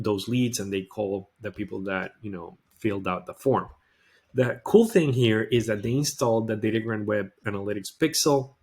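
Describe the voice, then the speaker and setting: Low-voiced man giving a demo. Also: a bit bright. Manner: informal, explanatory, step-by-step. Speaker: presenter of a software demo. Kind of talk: casual screen-share product walkthrough.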